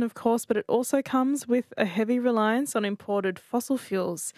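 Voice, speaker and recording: A woman's voice: mid-range voice, a little mellow, dry recording, clean studio sound. Woman reading a news report. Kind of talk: scripted news narration.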